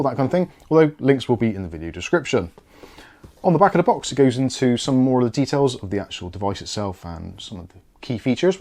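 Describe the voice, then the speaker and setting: A man's voice: mid-range voice, darkish tone. A British man giving a casual product review and unboxing, explaining things in a chatty, easygoing way.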